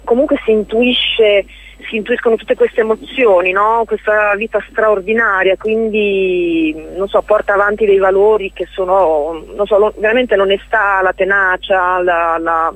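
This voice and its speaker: A woman's voice: mid-range voice, dry recording, rough recording. A woman answers interview questions conversationally, often hesitating and rephrasing as she explains. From Romagna, Italy. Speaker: stage actress, interview guest.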